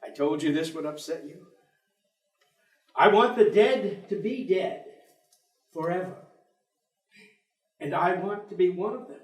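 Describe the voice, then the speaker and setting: A man's voice: sits high, expressive, measured pace, pauses often. A man on stage playing a bitter, articulate atheist professor who longs for death, in a theatrical declamatory delivery. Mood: grim yearning. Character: bleak, bitter, intellectual atheist male professor longing for death. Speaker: stage actor in a play. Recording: echoey theatre stage, distant microphone.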